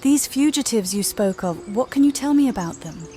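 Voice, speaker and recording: A woman voicing a calm, direct healer adventurer in a fantasy game, with a measured, questioning manner. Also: fairly high pitch, soft background music, close to an everyday voice. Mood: inquiring. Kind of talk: amateur game character voice-over.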